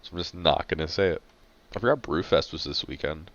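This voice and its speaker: A man co-hosting a podcast, talking in a laid-back, dry, halting way. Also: a bass voice, expressive, a bit bright. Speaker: podcast co-host. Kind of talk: casual chat. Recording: dull lo-fi mic sound.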